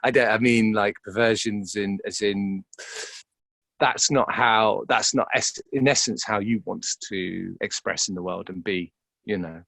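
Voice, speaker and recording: A man with a low voice gives reflective, informal interview answers. He searches for his phrasing, stopping and repeating words as he goes. The sound is very dry, with no room around it. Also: fairly even intonation, unhurried, gravelly, a bit bright, no room sound. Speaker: interview guest, actor.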